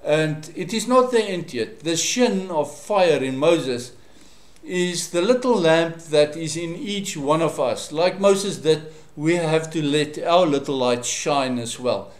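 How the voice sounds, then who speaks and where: A South African man, a native Afrikaans speaker, giving a Bible teaching in a measured, didactic way, pausing between short phrases. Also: fairly high pitch, lively intonation. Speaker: religious teacher. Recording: echoey, roomy sound.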